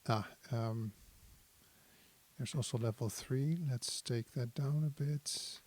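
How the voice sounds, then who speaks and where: A man giving a casual technical tutorial, thinking aloud in a hesitant, halting, analytical way. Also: mid-range voice, noisy background. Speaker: synthesizer demonstrator.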